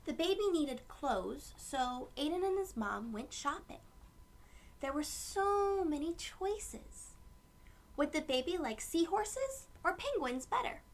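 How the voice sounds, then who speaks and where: A woman reading a picture book aloud to young children, with gentle storytelling phrasing and asides to her listeners. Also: sits high, sing-song, takes it slowly.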